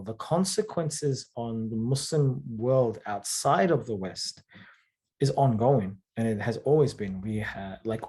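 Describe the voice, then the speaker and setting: A man from the UK, speaking thoughtfully in a conversational interview, often pausing with fillers and checking in with "right?". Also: medium pitch, warm tone. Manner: thoughtful, hesitant, with frequent fillers and rhetorical "right?". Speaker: author and guest.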